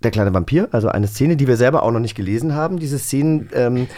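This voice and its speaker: A man's voice: mid-range voice, animated, quick pace, a little mellow. A man speaking as a podcast host, casually bantering and explaining a game to the others.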